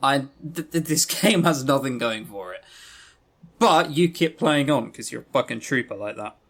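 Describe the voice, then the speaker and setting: A man's voice: mid-range voice, animated, moderate pace, fairly bright tone. A man co-hosting a podcast, giving casual critical commentary in a sardonic, exasperated manner. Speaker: podcast co-host.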